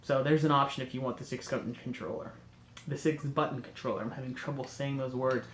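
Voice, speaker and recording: A man's voice: medium pitch, fairly even intonation, quick pace, fairly bright tone, echoey room, a little hiss or hum. A man with a cold and a congested, nasal voice, hosting a casual chat and rambling with enthusiasm while he corrects himself. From North America. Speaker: host.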